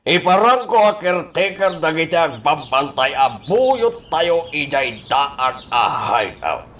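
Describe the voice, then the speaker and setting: A man with an Ilocano Filipino accent acting a guarded, fatherly crime boss in a radio drama, with halting, drawn-out delivery. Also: a little high, very animated, fairly bright tone, slight background noise. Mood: commanding.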